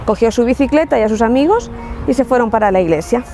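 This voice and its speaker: Earnest Spanish woman from La Mancha, mellow. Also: a little high, expressive, fast talker, slight background noise. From Spain, Ciudad Real. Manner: earnest and reflective, with frequent restarts. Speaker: testimony speaker. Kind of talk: personal faith testimony.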